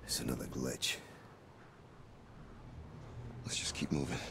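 An actor playing a gruff, stubborn muscular warrior in a video game, gravelly and terse. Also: male voice, a bass voice, little pitch movement, slow, noisy background. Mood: grim. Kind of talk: video game character acting. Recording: loud game music over the voice.

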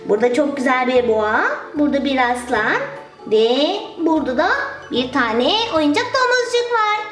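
A woman presents toy play for children in a very high, childlike pitch. She exclaims in a playful sing-song way. The sound is roomy and echoing, with music underneath. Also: animated, moderate pace.

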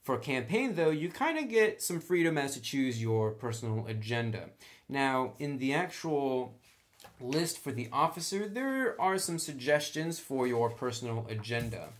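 A man's voice: medium pitch, very animated, measured pace. Man, mid-range voice, casual tabletop tutorial host, conversational, explanatory, step-by-step delivery. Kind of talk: casual step-by-step tutorial, partly reading rules aloud.

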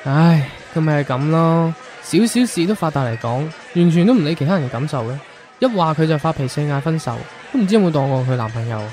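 A man's voice: a little high, animated, fast, a little mellow, faint music behind, a faint crowd, natural voice. A man playing a considerate young boyfriend in a radio drama. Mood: exasperated. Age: young adult.

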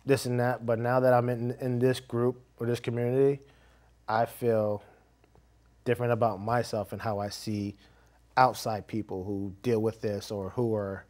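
A man's voice: mid-range voice, level tone, normal speed, darkish tone. A middle-aged man speaking earnestly as an interview guest in a small studio. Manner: earnest, heartfelt. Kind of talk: casual interview chat. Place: small TV studio with curtain backdrop.

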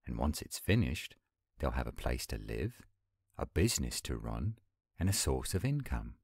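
A man acting an earnest young werewolf pack leader in a roleplay audio drama, speaking in a measured way. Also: very deep voice, very animated, vocal fry, breathy edge, no echo, clean studio sound, heavily acted. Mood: earnest, hopeful.

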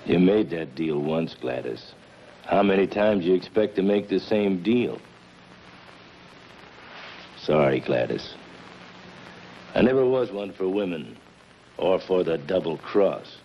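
Lowish, animated noir tough guy. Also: slow, long pauses, heavily acted. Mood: resigned, firm. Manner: dramatic, plain-spoken tough guy. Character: gruff, wary middle-aged gangster sidekick. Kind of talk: 1950s noir film acting. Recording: dull, noisy 1950s film soundtrack.

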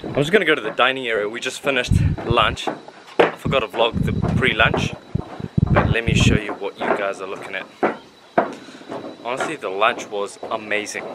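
A man's voice: fairly low pitch, steady tone, moderate pace. A young man talks playfully to his viewers while holding the camera himself. He is outdoors on a lakeside raft house, and heavy background noise runs under his chat. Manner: chatty, playful, greets viewers directly. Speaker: travel vlogger. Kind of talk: casual travel vlog. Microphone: handheld camera at arm's length.

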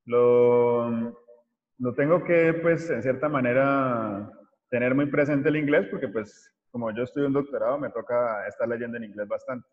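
A man, giving an informal online lecture as a mathematics teacher, haltingly searching for words. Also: medium pitch. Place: small indoor room, video call. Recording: muffled, echoey room over video call.

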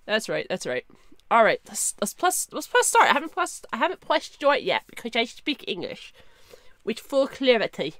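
A young woman streaming a game, chatting casually and excitably with her viewers through a headset, her voice slightly hoarse. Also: a little high, normal speed, no room sound, clean studio sound. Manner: chatty, excitable, talks to chat. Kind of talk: casual live-stream chat.

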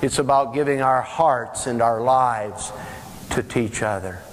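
An older male pastor preaches slowly and earnestly, leaning on long pauses. His mid-range voice carries the long ringing tail of a big sanctuary. A faint hiss sits under the recording. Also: medium pitch, long reverb tail. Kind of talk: sermon. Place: large church sanctuary, preaching from the chancel.

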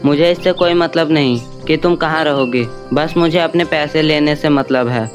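A man acts out a bullying, middle-aged moneylender from a cartoon tale. His voice sits a little high and comes across harsh, greedy and demanding. Music plays underneath. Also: normal speed, natural voice. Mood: harsh, gloating. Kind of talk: Hindi animated story dialogue.

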